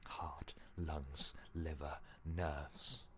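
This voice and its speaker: A man voicing an obsessive inner voice in a game, chanting repetitively in a hushed, breathy whisper. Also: extremely low pitch, level tone, extremely slow, a little hiss or hum, heavily acted.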